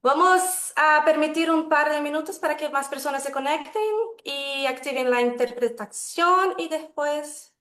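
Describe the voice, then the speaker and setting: A woman from Brazil, speaking as a second language with a Brazilian Portuguese accent, moderating a webinar in a measured, careful way. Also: high-pitched, fairly bright tone, reverberant. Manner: measured, careful, self-correcting. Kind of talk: formal opening remarks. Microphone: video call.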